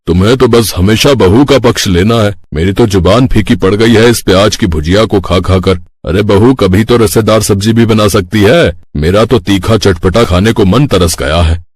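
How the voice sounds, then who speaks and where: A man's voice: fairly low pitch, moderate pace, few pauses, darkish tone, husky, no room sound. A man voicing an elderly, nagging father-in-law from a poor family in a Hindi moral-story cartoon. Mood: complaining.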